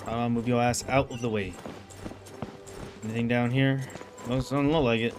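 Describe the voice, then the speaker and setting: A man's voice: mid-range voice, steady tone, moderate pace. A man streaming a video game, reacting with profane, joking commentary. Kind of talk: casual live gameplay commentary. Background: game music.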